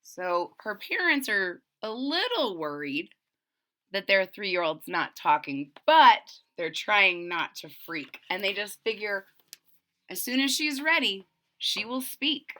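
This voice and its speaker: A woman reading a rhyming children's picture book aloud, pausing for plain-spoken asides that explain the story to young listeners. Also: mid-range voice, sing-song, sharp tone. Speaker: read-aloud narrator.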